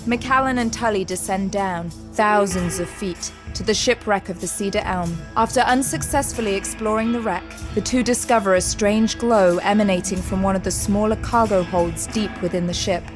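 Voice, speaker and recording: A woman's voice: medium pitch, normal speed, hardly any pauses. Woman narrating drama over prominent music. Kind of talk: scripted audio drama narration.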